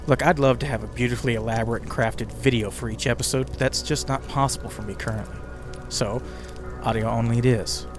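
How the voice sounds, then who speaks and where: A man's voice: medium pitch, steady tone, brisk. A man hosting a podcast with conversational scripted narration, slipping in casual asides to the listener. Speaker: podcast host and writer. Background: ambient music bed with crackling fire sounds.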